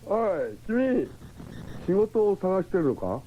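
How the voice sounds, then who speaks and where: An actor playing a coaxing villain who poses as a helper in a 1970s radio drama. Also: male voice, high-pitched, sing-song, slow, full character voice. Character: smooth-talking villainous recruiter posing as helper. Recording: tinny noisy 1970s radio.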